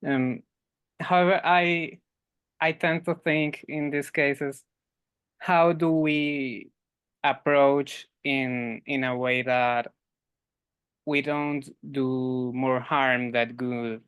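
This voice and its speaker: A Spanish-speaking man from Colombia asks a question in English. He speaks very slowly in a smooth, clear voice, searching for his words. The sound is dry and close. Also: medium pitch, fairly even intonation, a bit bright, dry recording. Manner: slow, searching for words, laughs. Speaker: audience member.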